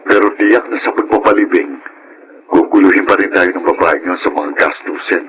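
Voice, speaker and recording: A man playing a cautious, dutiful young adult son, measured and sincere in a Filipino radio drama. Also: sits low, noisy background, lightly acted. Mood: sincere, reassuring.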